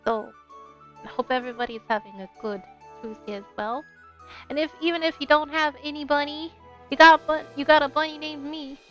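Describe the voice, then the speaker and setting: A woman's voice: sits high, fairly even intonation, fairly quick, a bit bright, highs cut off. A woman with a bubbly, playful manner, talking as a game streamer on a livestream. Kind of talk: casual livestream chat with read-aloud game narration. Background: game music.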